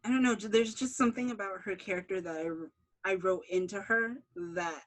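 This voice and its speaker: A woman being interviewed as a writer, chatting casually in an animated, self-deprecating way. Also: mid-range voice, fairly quick.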